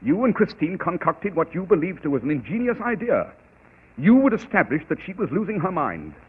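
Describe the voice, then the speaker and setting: Arrogant master detective; incisive, husky, old broadcast. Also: male voice, sits high, big pitch swings, exaggerated voice. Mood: cool. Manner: measured, precise, dryly cutting. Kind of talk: 1940s radio acting. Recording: tinny old radio broadcast.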